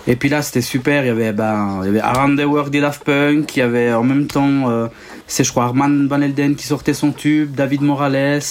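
A man's voice: mid-range voice, steady tone, fairly quick, slight background noise. Hesitant Swiss man with an Italian background. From Switzerland. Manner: hesitant, many ums and restarts, laughs often. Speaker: DJ and producer guest. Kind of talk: casual interview answers.

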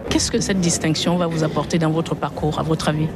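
A man's voice: a little high, steady tone, brisk. A man interviewing a guest with polite, formal questions. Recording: crowd noise outdoors with traffic.

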